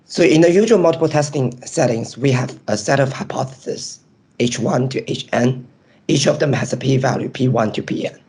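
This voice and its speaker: Hoarse man with a strained voice. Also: mid-range voice, normal speed, darkish tone. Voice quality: raspy, strained. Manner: explains step by step with frequent ums. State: hoarse, nearly lost voice. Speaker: presenting researcher. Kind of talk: academic webinar presentation.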